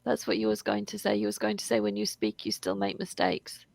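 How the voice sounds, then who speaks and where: A woman's voice: medium pitch, very animated, a little fast, vocal fry, a little mellow, no echo. A British woman tutoring English over a video call, encouraging and emphatic, often repeating words for stress. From United Kingdom. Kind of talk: online tutoring conversation.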